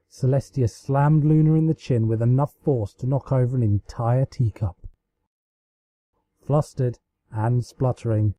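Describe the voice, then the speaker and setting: Dark, mellow man reading a story. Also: medium pitch, no echo. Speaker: amateur fan fiction reader. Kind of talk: dramatic storybook narration.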